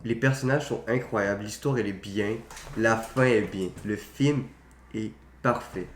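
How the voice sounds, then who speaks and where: Low-voiced young man, roomy sound. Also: unhurried. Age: young adult. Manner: opinionated, slangy, mild swearing. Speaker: podcast co-host. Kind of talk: casual film chat. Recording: roomy echo, faint background noise.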